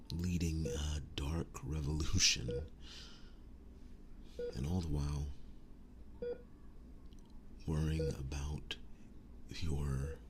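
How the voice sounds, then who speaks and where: Man playing a devoted wounded werewolf boyfriend, low voice, slow halting delivery, breathy whispers, earnest and tender, intimate ASMR roleplay. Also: background noise, full character voice. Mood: admiring, tender. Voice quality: breathy, often dropping into whispers. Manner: slow, halting, soft-spoken reassurance.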